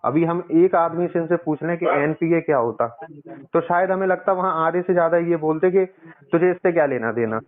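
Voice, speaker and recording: A man's voice: on the high side, normal speed. A man on a casual phone call, talking insistently as a caller, explaining at length and repeating himself. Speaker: caller, loan customer. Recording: tinny, narrow-band phone line.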